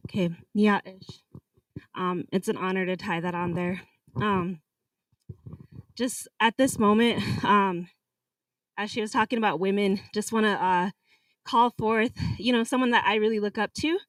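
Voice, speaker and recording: A woman introduces the next speaker in a loose, halting way, with many pauses and fillers. She is recorded from a distance, and the sound is dry. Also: medium pitch, steady tone, no echo. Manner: hesitant, lots of fillers. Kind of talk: informal introduction.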